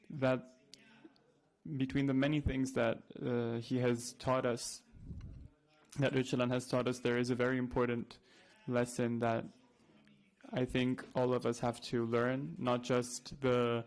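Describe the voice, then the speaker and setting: A man giving an earnest, somewhat halting conference speech. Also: mid-range voice, completely flat delivery, darkish tone. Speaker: conference speaker, activist.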